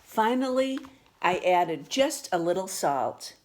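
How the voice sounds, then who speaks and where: A woman reading a children's picture book aloud with expressive, deliberate storytelling delivery. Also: on the low side, background noise. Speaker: storybook reader.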